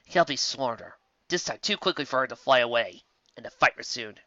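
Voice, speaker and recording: A man narrating a fan fiction audiobook, with a lively storytelling delivery. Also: mid-range voice, brisk, no echo, lo-fi sound. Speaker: audiobook reader.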